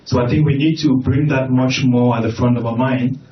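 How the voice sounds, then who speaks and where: A man's voice: mid-range voice, fairly even intonation, brisk. A man from Cameroon, a panelist, giving earnest conference remarks with frequent restarts. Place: conference hall. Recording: echoey, muffled, distant mic with faint noise.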